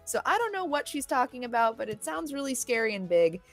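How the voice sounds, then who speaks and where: A woman's voice: on the high side, big pitch swings, brisk, faint music behind. A woman playing a tabletop game, chatting informally and somewhat hesitantly as she recounts things in character. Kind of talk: casual chat, in-game recounting.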